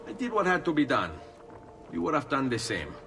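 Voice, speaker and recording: An actor playing a bold, loyal young assassin with a confident, direct manner in a game cutscene. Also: male voice, on the high side, sing-song, brisk, vocal fry, fairly bright tone, faint music behind, exaggerated voice. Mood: resolute. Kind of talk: dramatic game cutscene acting.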